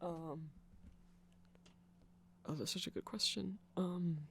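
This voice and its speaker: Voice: mid-range voice, steady tone, slow, vocal fry, a little hiss or hum. Someone acting as a shy, flustered nerdy vampire. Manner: hesitant, rambling, flustered, softly apologetic. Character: shy, apologetic nerdy vampire on a date-like visit. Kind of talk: ASMR roleplay addressed to the listener.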